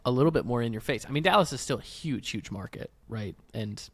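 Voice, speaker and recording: Medium-pitched male podcaster, thinking aloud. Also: animated, quick pace, dry recording. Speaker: podcast co-host. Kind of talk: casual motorsports discussion.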